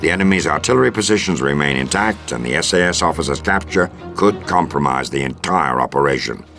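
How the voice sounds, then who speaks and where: Stern american colonel briefing a soldier in a war game, super low voice, music behind. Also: male voice, expressive. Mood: grave. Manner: formal, measured, authoritative. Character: stern, composed male American army intelligence colonel. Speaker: military officer giving a briefing. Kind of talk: scripted video game mission briefing.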